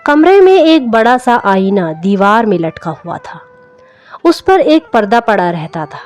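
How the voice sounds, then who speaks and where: A woman's voice: mid-range voice, sing-song, a little slow, a little mellow. A woman reading a literary story aloud as a scripted narration, in the manner of an audiobook storyteller. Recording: music under the voice. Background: background music.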